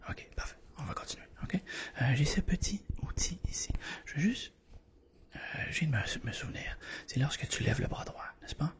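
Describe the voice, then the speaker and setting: Whispering man, softly creaky ASMR voice. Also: fairly high pitch, expressive, normal speed. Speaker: ASMR creator playing a doctor. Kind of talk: whispered ASMR medical roleplay.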